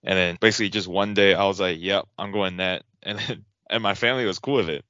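A young man from Taiwan, a hockey goalie guest on a podcast, easygoing and quick to laugh. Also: deep voice, pushed and bright, no echo, dull audio. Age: young adult. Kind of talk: podcast interview.

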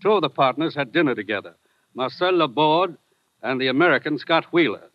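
An actor playing a stern, businesslike police commissioner in a 1940s radio drama, on a dull old radio recording. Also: male voice, a little high, expressive, no room sound, natural voice. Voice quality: brisk, businesslike.

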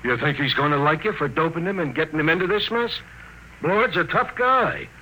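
A man's voice: fairly high pitch, brisk, sharp tone, low-quality recording. An actor playing a hard-boiled, slangy, sardonic henchman in an old-time science fiction radio drama. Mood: mocking, offhand. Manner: slangy, sardonic tough-guy delivery. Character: wisecracking, hard-boiled male space henchman. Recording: hissy vintage radio recording.

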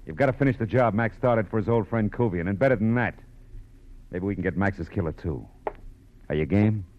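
Male private detective, played by an actor, low-pitched, cool and commanding, terse noir delivery, dull vintage radio sound. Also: fairly quick, close to an everyday voice. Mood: cool, insistent. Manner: clipped, tough, world-weary. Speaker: lead actor and narrator. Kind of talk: 1940s radio noir acting and narration. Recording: muffled old radio recording with music.

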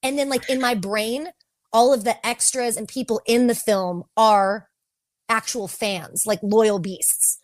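A woman's voice: a little high, fairly even intonation, unhurried, no echo. A woman guest on a podcast, chatting casually and loosely, filling her talk with "like". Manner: chatty, heavy use of "like". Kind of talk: casual chat.

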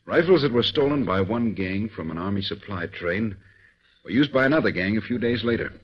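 A grave, measured government official who lays out evidence calmly, a 1940s radio drama. His voice is fairly low and husky. The recording is dull and muffled. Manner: measured, authoritative.

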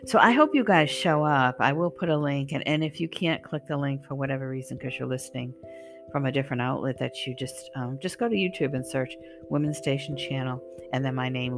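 Hoarse woman rambling to her listeners. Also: very deep voice. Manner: rambling, with many fillers and restarts. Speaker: singer and channel host. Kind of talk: casual spoken monologue to listeners.

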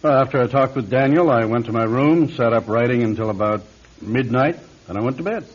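Husky, practical man played by an actor. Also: mid-range voice, brisk, close to an everyday voice. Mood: matter-of-fact. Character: practical, take-charge film man, wryly suspicious. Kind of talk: old radio mystery drama acting. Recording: dull old radio recording.

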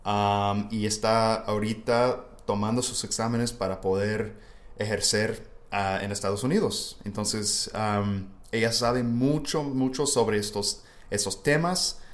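Low-voiced male podcast host, native English speaker. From United States. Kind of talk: casual educational podcast conversation.

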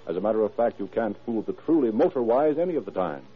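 A man's voice: fairly low pitch, lively intonation, fast talker. A man working as a radio announcer and narrator, delivering scripted copy in a formal, emphatic 1930s style. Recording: tinny, rough old radio recording.

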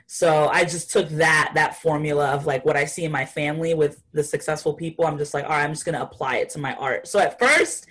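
A woman's voice: sits low, fairly bright tone. Young woman guest, thoughtful and easygoing. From Haiti. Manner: thoughtful, many fillers. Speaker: guest artist. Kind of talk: casual interview talk.

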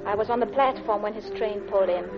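An actress playing an anxious, guilt-ridden middle-class wife in a classic radio drama, with a polite, hesitant, confessional manner. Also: female voice, mid-range voice, quick pace, close to an everyday voice. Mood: wistful, confessional. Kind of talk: classic radio drama acting, with intimate narration. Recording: dull old radio recording with music.

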